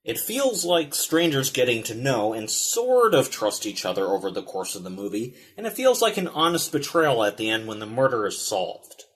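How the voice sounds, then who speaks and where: Man narrating with very animated pitch. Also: moderate pace, few pauses. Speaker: film reviewer and show host. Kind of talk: scripted video essay narration.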